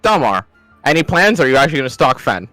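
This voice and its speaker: A man's voice: medium pitch, very animated, quick pace, sharp tone, no room sound, faint music behind. A man running an online tabletop game as game master, chatting casually, teasing and swearing lightly. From Mexican family background.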